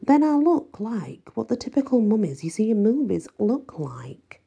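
A woman reading an audiobook, voicing a smug, unbalanced ancient male mummy with slow theatrical delivery. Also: fairly high pitch, very animated, gravelly, dark tone, breathy edge, highs cut off, voice pushed up, heavily acted. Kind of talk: audiobook character voice.

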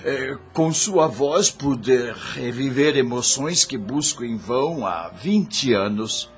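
Man acting a sentimental rich gentleman, fifties, halting formal speech, slow delivery, radio play. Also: medium pitch, expressive, faint music behind. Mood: moved, grateful. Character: melancholy nostalgic gentleman in his fifties, wealthy and sentimental.

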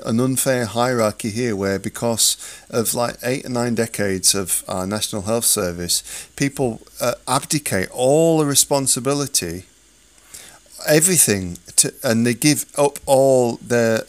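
A man interviewing in a casual, conversational way, often stumbling over word starts and rephrasing as he goes. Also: medium pitch. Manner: stammering, rephrasing, frequent affirming interjections.

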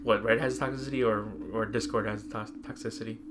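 A man's voice: lowish voice, steady tone, fast. A man co-hosting a podcast in casual, informal chat, leaning on conversational filler phrases. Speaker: podcast co-host. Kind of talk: casual chat about tech and pop culture. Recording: clear background ambient noise.

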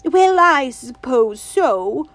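A woman voicing a stern, fussy old grandmother who speaks primly and slowly in an audiobook. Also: high-pitched, animated, a bit bright, voice pushed up, full character voice. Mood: grudging. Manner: prim, scolding, drawn-out words. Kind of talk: audiobook reading.

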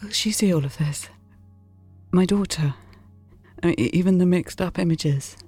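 An actress playing a wistful, anguished mother in an audio drama, speaking in a halting, emotional way. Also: on the low side, mellow, husky. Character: wistful, anguished mother reviewing her memories. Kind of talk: naturalistic audio drama acting. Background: music.

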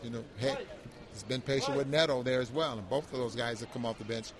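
Mid-pitched man analysing a game. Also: steady tone, quick pace, crowd noise. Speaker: sports radio co-host, analyst. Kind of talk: conversational post-game analysis.

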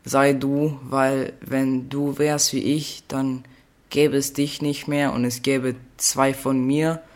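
A man's voice: mid-range voice, little pitch movement, slow. Rambling teenage boy podcasting alone. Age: teens. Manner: rambling, playful, loses his thread; corrects himself out loud. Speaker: podcast host. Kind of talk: solo podcast chat.

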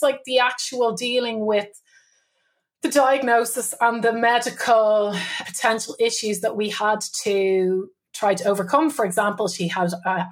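A middle-aged Irish woman talking as a podcast guest, chatty and candid, often laughing in colloquial speech. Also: on the high side. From Ireland. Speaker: guest, business owner and mother. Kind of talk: podcast interview, candid storytelling.

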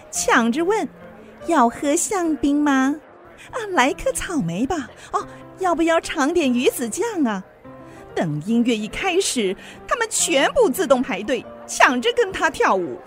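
A woman's voice: sits high, big pitch swings, unhurried, faint music behind. A woman acting in a radio drama as a haughty, envious, gossipy society lady with a theatrical, mocking delivery. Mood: sardonic gossip.

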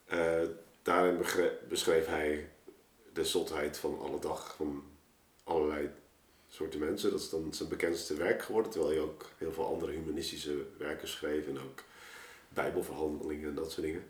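A man's voice: rumbling low, steady tone, normal speed, far from the mic. A man with a Netherlands Dutch accent, explaining things casually as a guest in conversation. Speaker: guest, poet and performer. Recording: echoey room, slightly off mic.

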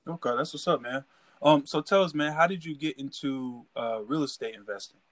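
Male host, casual interview, laid-back, mid pitch, dry sound. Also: brisk, a bit bright, dry recording. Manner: laid-back, says "man" often.

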